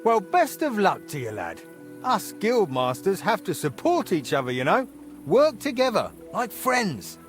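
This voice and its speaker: A man's voice: sits high, measured pace, faint music behind, exaggerated voice. A man playing a jovial, middle-aged medieval guildmaster in a video game, speaking heartily. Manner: hearty, expressive. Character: jovial, dice-loving older medieval guildmaster, hearty and comradely. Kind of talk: video game character dialogue.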